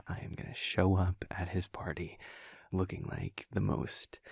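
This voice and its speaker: Man playing a low, gravelly, slow vampire boyfriend. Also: dry recording, studio quality, heavily acted. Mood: smug, amused. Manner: halting, hushed, amused, trailing off. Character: laid-back young male vampire prince boyfriend, wry, mischievous. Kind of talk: intimate boyfriend audio roleplay, unhurried storytelling. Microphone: close, dry studio microphone.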